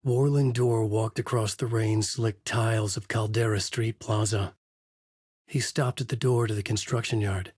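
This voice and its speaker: A man's voice: fairly low pitch, steady tone, normal speed, a little mellow, breathy edge, no echo, clean studio sound. A middle-aged man narrating a video game as a brooding writer, in a noir-like inner monologue. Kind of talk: hard-boiled game narration.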